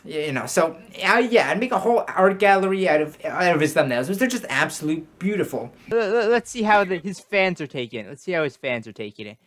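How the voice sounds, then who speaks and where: A woman's voice: fairly low pitch, sing-song, fairly quick, few pauses. A woman giving a comedic YouTube commentary, praising mockingly with a straight face and often stumbling over and restarting her words. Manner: mock-serious, ironic praise; frequent stammered restarts.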